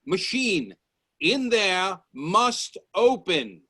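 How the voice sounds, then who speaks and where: Casting: male actor as obsessive Edwardian inventor, high-pitched husky voice, urgent and demanding, radio drama. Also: very animated, very slow, pushed and bright, dry recording, clean studio sound, heavily acted. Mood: suspicious, demanding. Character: driven, hot-tempered male Edwardian inventor, stubborn and obsessive. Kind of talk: radio play acting.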